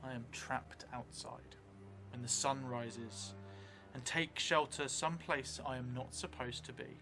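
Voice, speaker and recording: Halting man musing over music. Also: medium pitch. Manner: musing, halting, drifting into muttering while writing. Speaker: solo role-playing streamer. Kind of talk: thinking aloud while narrating a journaling role-playing game. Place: indoor theatre stream set, seated at a table. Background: background music.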